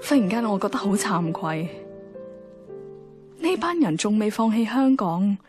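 A woman's voice: mid-range voice, sing-song, a little slow. A woman acting as an earnest young woman reading a heartfelt letter in a radio drama, with a reflective, sincere Hong Kong Cantonese delivery. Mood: wistful, reflective. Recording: music behind the voice.

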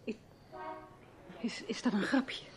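An actress playing a spirited young woman abroad, expressive and questioning, in a Dutch radio drama. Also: natural voice. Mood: shaken, disbelieving. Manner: expressive, questioning, quick to demand answers.

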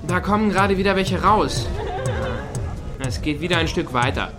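Lively, easygoing man over music. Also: high-pitched, normal speed. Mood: casual. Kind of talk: German radio play dialogue.

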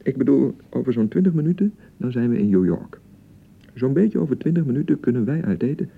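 A man acting an excitable young newlywed husband in a radio drama, by turns blustering and awkwardly pleading. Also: medium pitch, a little fast, creaky, narrow, tinny audio. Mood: awkward, coaxing. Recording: thin, band-limited 1990 radio broadcast.

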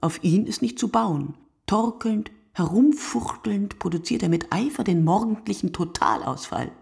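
Radio play narrator, husky and lowish, musing in deliberate poetic fragments, very dry sound. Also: female voice, animated, darkish tone, no echo, exaggerated voice. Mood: emphatic, sardonic. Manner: deliberate, fragmented phrasing, dwelling on words. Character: reflective woman narrator musing poetically on night-time drinkers. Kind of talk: literary radio play monologue.

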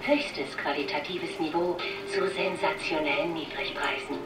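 An enticing store advertising announcer, lowish in pitch and polished. Her gushing sales patter comes from far off in a roomy space, over loud music. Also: measured pace. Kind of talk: store advertisement in radio drama.

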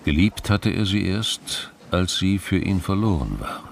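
An actor narrating a radio crime drama as a reflective storyteller, in a measured, pensive way. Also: male voice, sits low, vocal fry, slight background noise.

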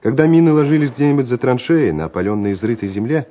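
A man's voice: mid-range voice, lively intonation, quick pace. A man narrating a war radio play in a scripted, dramatic storytelling style. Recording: dull radio recording with music behind.

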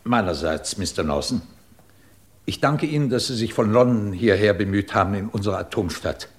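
An actor playing a proud, blunt head of a research institute in a 1950s German radio drama, with a husky voice and formal, emotional delivery. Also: male voice, fairly low pitch, expressive, moderate pace. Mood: formal, courteous.

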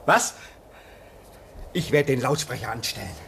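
A man's voice: mid-range voice, expressive, a little slow, vocal fry, noisy background. An actor playing a bitter, restless man at a polar station in a theatrical, sardonic German radio play. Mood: mocking merriment. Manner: theatrical, sardonic, repeats words.

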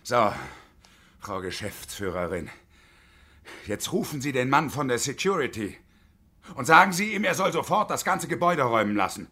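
A man's voice: medium pitch, sing-song, normal speed, long pauses, sharp tone, breathy edge, full character voice. An actor in his fifties playing a bitter, sarcastic unemployed engineer turned hostage-taker in a radio drama, domineering and theatrical. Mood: coldly commanding. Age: 50s.

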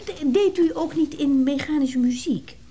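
An actress playing a polite, inquisitive customer in a radio play, genteel and hesitant. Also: female voice, high-pitched, normal speed, dark tone, close to an everyday voice. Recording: slightly noisy old radio play.

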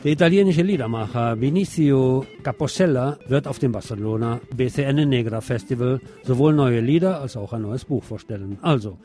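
A man's voice: mid-range voice, fairly quick, few pauses, warm tone, faint music behind. A man presenting scripted magazine news on the radio, with a wry, ironic touch in his delivery.

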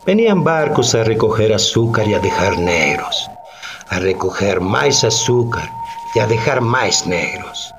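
Weary bitter old plantation slave, broken rustic talk, really slow, swoops up and down, noisy background ambience, radio play. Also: male voice, lowish voice, sing-song, music in the background. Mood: weary, lamenting. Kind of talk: radio drama testimony.

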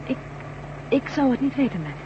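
A woman's voice: sits high, big pitch swings, moderate pace, background noise. A woman playing a keen, dryly witty companion to an adventurer in a radio drama. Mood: keen, calm. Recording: dull old recording with music.

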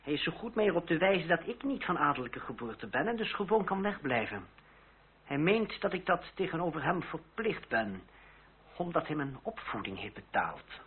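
An actor playing a young lawyer and duellist who is composed, ironic and defiant, in a period radio drama. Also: male voice, a little high, very animated, moderate pace. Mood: cool irony. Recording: tinny, band-limited old radio recording with hiss.

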